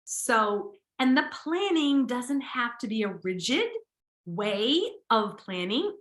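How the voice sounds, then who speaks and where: Bright, slow-talking, upbeat woman host. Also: fairly high pitch, echoey room. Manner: enthusiastic, upbeat, repeats words for emphasis. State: slight sniffle, a bit nasal. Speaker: podcast host. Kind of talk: casual solo podcast talk.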